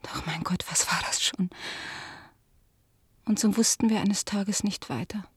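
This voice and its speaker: An actress playing an ashamed, pleading middle-aged daughter in a radio drama, confessing in a hushed voice. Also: female voice, mid-range voice, a little slow, husky, no room sound, whispered. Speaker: the collector's daughter.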